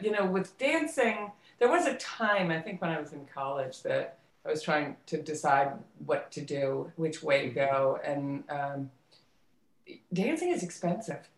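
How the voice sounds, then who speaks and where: A woman's voice: sits low, lively intonation, a little slow. An American woman telling her life story in a casual interview, reflective and often correcting herself as she goes. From United States, suburbs of Washington DC, then California. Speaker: interview guest, theater actress and director. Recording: roomy, echoey sound.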